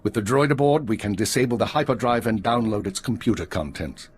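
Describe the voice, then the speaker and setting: A male actor playing a commanding, weary middle-aged intelligence chief in a video game, husky and brisk. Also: lowish voice. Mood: stern, businesslike. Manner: brisk, authoritative.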